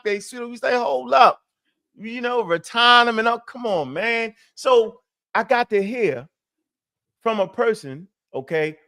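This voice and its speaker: A man co-hosting a live online talk, speaking in an animated way full of streetwise slang and quick rhetorical asides. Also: high-pitched. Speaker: co-host. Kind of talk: casual live-stream chat.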